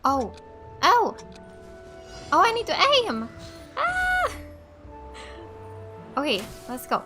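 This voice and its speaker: A woman giving casual, expressive, exclamatory let's play commentary. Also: very high voice, slow pace. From Finland. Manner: expressive, exclamatory, reading aloud and reacting. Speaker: gaming streamer. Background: game music.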